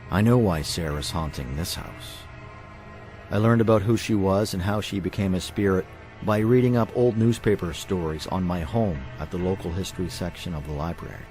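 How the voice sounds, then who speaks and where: A man's voice: sits low, darkish tone. A man reading a scripted ghost story in the first person. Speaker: horror story narrator. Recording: music behind the voice. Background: music.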